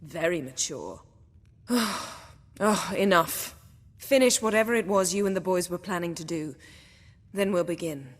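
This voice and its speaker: Woman playing a haughty, low-voiced sorceress. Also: unhurried, long pauses, in a large hall, slight background noise, lightly acted. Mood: irritated, scornful. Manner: haughty, sharp-tongued, lecturing. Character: haughty, learned, commanding sorceress. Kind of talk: video game dialogue.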